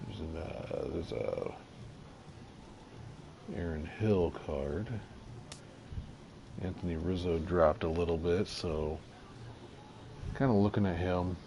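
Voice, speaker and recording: A man casually commenting on his gameplay, slightly nasal from allergies, halting with lots of fillers. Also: lively intonation, creaky. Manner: halting, many fillers, unhurried and low-key. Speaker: gaming video creator. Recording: audible background noise.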